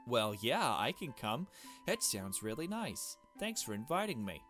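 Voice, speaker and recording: A man's voice: medium pitch, sing-song, measured pace, a bit bright, soft background music. A man voicing an awkward, easily embarrassed young visual novel protagonist, hesitant and self-conscious. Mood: shy.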